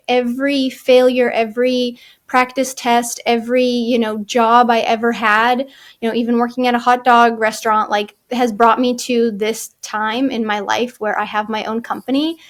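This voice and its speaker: Architect woman as chatty guest, thirties, slightly high-pitched, loose and rambling. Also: little pitch movement, moderate pace. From Czech Republic, raised in California. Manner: chatty, fillers and restarts, frequent "like" and "you know". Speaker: guest, architect and firm founder. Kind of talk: casual interview chat.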